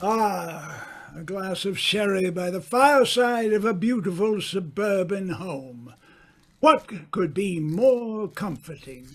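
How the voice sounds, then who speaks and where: Man acting a suave, theatrical mystery writer, lively high voice. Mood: suavely sinister. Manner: deliberate, dramatic, savouring pauses. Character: suave, theatrical male mystery writer, a knowing dinner guest. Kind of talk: old-time radio mystery acting.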